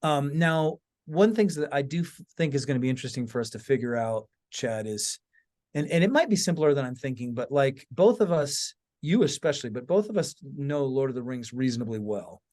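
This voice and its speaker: A man's voice: medium pitch, lively intonation, brisk, darkish tone. A middle-aged man co-hosting a podcast in casual conversation, informally thinking aloud with frequent asides. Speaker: podcast co-host.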